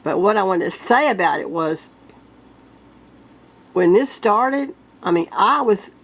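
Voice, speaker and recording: A woman vlogger talking casually to her viewers, her nose congested from a sinus illness. She speaks earnestly and haltingly, clearing her throat and coughing often. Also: mid-range voice, animated, poor audio. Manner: earnest, halting, with many restarts.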